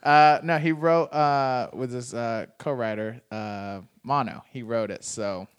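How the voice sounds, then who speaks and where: A man's voice: medium pitch, takes it slowly, clear, resonant tone. A man hosting a casual podcast interview, laid-back and joking, asking rambling questions. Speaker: podcast host.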